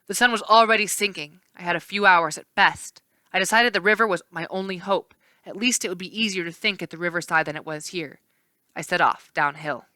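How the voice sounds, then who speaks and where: A woman reading a children's novel aloud as an expressive storyteller. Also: on the low side, clear, resonant tone, dry recording. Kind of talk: expressive audiobook-style narration of a children's novel.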